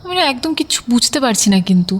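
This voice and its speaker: A woman's voice: on the high side, very animated, quick pace, husky. An actress playing a young woman in love, exasperated and anxious, chiding and pleading in a romantic audio drama.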